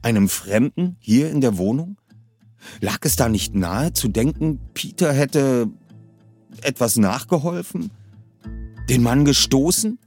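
A man's voice: medium pitch, animated, unhurried, a little mellow, soft background music. A man reading a novel aloud expressively, shifting his voice for the characters. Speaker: reader of a novel.

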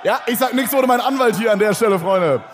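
An excitable man co-hosts a live show, teasing and egging on the audience. A loud crowd and steady noise surround his fairly high voice. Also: quick pace. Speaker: podcast co-host on live stage. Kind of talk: live comedy podcast banter. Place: large sold-out concert hall.

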